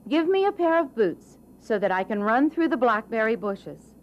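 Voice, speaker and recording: A woman's voice: fairly high pitch, sing-song, normal speed, muffled recording, a little hiss or hum. A woman voicing a clever, cheerful and polite talking male cat from a storybook. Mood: cheerful, confident. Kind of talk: storybook character reading.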